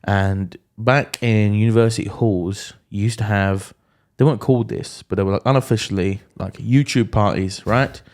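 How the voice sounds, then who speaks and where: A man's voice: fairly low pitch, steady tone, moderate pace, hardly any pauses, a little mellow. A man co-hosting a podcast in a casual, joking conversation about music. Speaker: podcast co-host. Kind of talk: casual comedic music chat.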